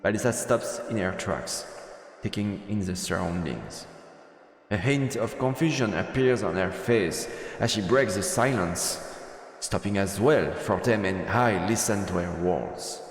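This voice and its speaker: A man's voice: fairly low pitch, animated, a little slow, reverberant, long reverb tail. A man streaming a visual novel, reading narration aloud and adding short comments as he plays. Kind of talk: let's play commentary and read-aloud narration.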